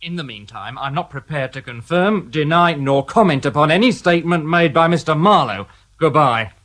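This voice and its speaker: A man's voice: fairly high pitch, animated, normal speed, fairly bright tone. An actor playing a firm, sardonic male detective inspector in a radio drama.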